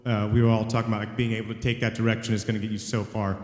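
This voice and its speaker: A man's voice: lowish voice, little pitch movement. A man, a voice actor on a convention panel, recounting an audition earnestly and humbly, with many fillers. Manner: earnest, humble, quick bursts between fillers. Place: large convention hall. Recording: echoey hall with long reverb.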